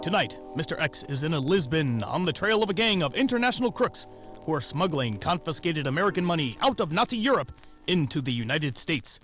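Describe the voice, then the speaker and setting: A man's voice: a little high, sing-song, fairly quick, fairly bright tone, faint music behind. A man delivering a scripted, formal and emphatic announcement in the style of 1940s radio.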